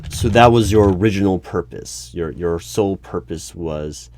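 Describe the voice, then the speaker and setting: A man playing an awkward, officious hiring manager in an ASMR interview roleplay, speaking formally with halting stammers and over-polite phrasing. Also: low-pitched, a little mellow, slight background noise. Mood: formal, inquisitive. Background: computer keyboard typing.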